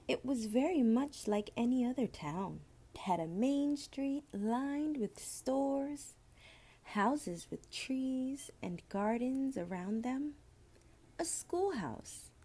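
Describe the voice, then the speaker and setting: Female storybook reader for children's tales: unhurried, very varied intonation, expressive and deliberate. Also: high-pitched. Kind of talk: children's picture book read-aloud.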